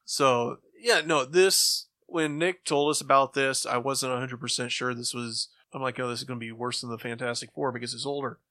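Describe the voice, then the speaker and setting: A man talks casually as a podcast co-host, his voice bright and crisp-edged in a dry, close space. He reviews stories in slangy language and slips into imagined reactions to make his points. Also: mid-range voice, steady tone, normal speed, hardly any pauses, no echo. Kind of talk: casual review chat about old-time radio.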